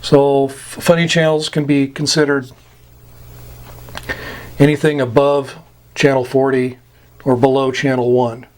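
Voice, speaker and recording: An American man giving an informal, plain-spoken explainer of technical terms, pausing often between short phrases. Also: mid-range voice, little pitch movement, darkish tone. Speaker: instructor explaining CB radio terms. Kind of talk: informal explainer, glossary-style lesson.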